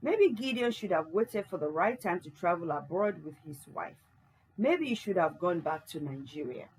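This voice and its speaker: A woman's voice: lowish voice, animated, normal speed, breathy edge, low-quality recording. A woman reading a stage narrator who recounts and explains the story's events. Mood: explaining.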